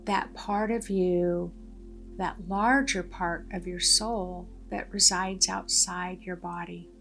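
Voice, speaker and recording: A woman leading a guided meditation in a gentle, deliberate way, pausing between short phrases. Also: fairly low pitch, steady tone. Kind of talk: guided meditation, soothing narration. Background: background music.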